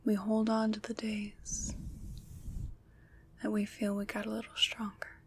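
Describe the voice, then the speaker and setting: A woman plays a kind, comforting witch in an ASMR roleplay. She whispers very slowly and evenly, leaving deliberate pauses and sounding gentle and reassuring. Noticeable noise sits behind her hushed voice. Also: medium pitch, monotone. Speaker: ASMR performer.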